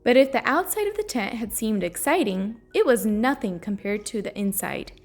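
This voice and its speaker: A woman reading a children's story aloud in an expressive, storytelling manner. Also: on the high side, normal speed. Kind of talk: children's read-aloud narration. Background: soft music.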